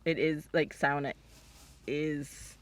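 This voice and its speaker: A woman's voice: low-pitched, fairly even intonation, takes it slowly. A woman who runs a forest farm, chatting casually in an interview with lots of fillers and sometimes dropping to a whisper. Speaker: forest farmer. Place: outdoors on a farm. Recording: distant mic, outdoor noise with passing vehicles.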